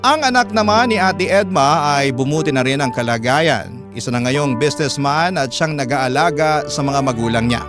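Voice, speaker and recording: A man's voice: a little high, moderate pace, few pauses. A man narrating a listener's letter as host of a radio drama, in scripted storytelling style. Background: music.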